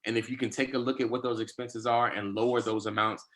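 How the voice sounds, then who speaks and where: A man presenting on a webinar over a video call, answering an audience question with quick, explanatory talk and fillers. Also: medium pitch, flat delivery, fairly bright tone, poor audio. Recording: faint noise and clicks.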